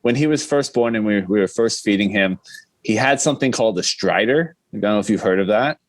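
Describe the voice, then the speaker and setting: A man's voice: fairly low pitch, animated, fairly quick, no echo. A man chatting casually as a podcast guest, explaining things in a rambling way. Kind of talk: casual chat.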